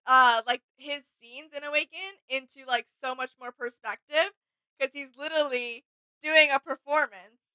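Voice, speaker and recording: A woman's voice: high voice, steady tone, moderate pace, pushed and bright, no room sound, low-quality recording. A woman chatting casually as the co-host of a reaction show.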